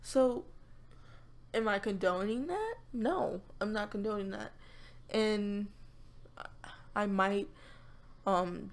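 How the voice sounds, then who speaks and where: A young woman with a raspy voice, chatting casually to her viewers and rambling with lots of fillers and restarts. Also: medium pitch. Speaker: YouTuber. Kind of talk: casual vlog chat.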